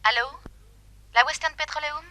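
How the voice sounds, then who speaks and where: An actress playing a polite, worried young daughter in a 1960s French radio play, speaking insistently and courteously. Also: female voice, high-pitched, steady tone, moderate pace, pushed and bright.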